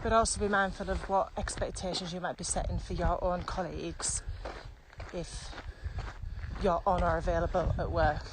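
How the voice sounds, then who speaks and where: A woman's voice: fairly low pitch, steady tone, normal speed. A woman co-hosting a podcast, chatting in an informal, reflective, conversational way. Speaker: podcast co-host. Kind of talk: casual podcast chat. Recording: clearly noisy background with clicks.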